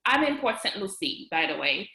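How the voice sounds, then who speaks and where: A woman's voice: medium pitch, very animated, moderate pace, bright, forceful tone. Woman, 30s, Haitian accent, chatty podcast guest, echoey video-call sound. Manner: chatty, laughs often, many fillers and restarts. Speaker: guest, registered nurse and business owner. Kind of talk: casual interview chat. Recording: roomy echo.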